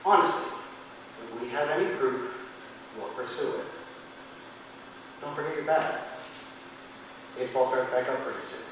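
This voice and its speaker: A man's voice: mid-range voice, normal speed, pauses often, close to an everyday voice. A man voicing a wry small-town sheriff who speaks in a dry, offhand way, recorded far off in an echoey hall. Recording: echoey hall, distant mic, faint hiss.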